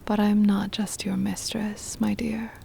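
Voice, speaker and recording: A woman playing a centuries-old vampire mistress, tender and possessive, in a hushed, breathy ASMR whisper with deliberate, formal wording. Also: medium pitch, little pitch movement, slight background noise. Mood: doting, possessive. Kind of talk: ASMR roleplay, intimate scripted monologue.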